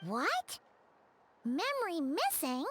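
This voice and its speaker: A woman voicing a small, excitable, childlike fairy companion in a video game. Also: extremely high pitch, slow pace, slight background noise, full character voice. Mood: dismayed. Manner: exclamatory, refers to self in third person.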